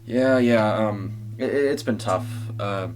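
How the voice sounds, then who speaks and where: A man voicing a nervous, grieving phone-in caller who stammers quietly in a strained, worn voice for an audio story. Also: on the low side, slow, music in the background. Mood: grieving, awkward. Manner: hesitant, stammering.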